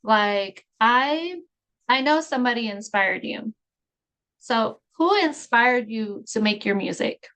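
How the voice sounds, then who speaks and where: A woman's voice: fairly high pitch, lively intonation, slow pace, fairly bright tone. A woman hosting a podcast, upbeat and encouraging as she talks with her guest. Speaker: podcast host. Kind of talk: casual interview chat.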